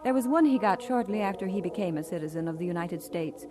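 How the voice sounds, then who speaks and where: An actress playing a gentle, devoted pastor's wife with composed delivery in an old-time radio drama. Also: female voice, fairly low pitch, lively intonation, quick pace, over music, lightly acted. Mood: reflective.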